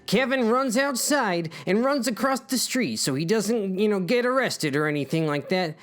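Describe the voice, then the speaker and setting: A man tells a comic story with a very animated, swinging pitch. His narration is informal and mock-dramatic, dropping into chatty asides along the way. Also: normal speed.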